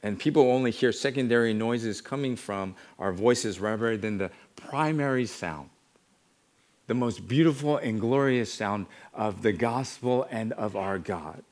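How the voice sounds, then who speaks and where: American man preaching an earnest sermon. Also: lowish voice. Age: middle-aged. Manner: earnest, measured exhortation with repeated parallel phrases. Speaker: pastor preaching. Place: church sanctuary, at a wooden pulpit.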